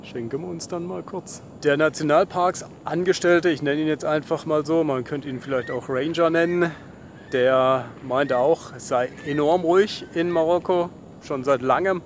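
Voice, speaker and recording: A man's voice: a little high, normal speed. A man giving casual, laid-back travel commentary with dry, colloquial wit. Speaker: travel vlogger. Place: on the move, in a vehicle and outdoors. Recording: clear outdoor and vehicle noise.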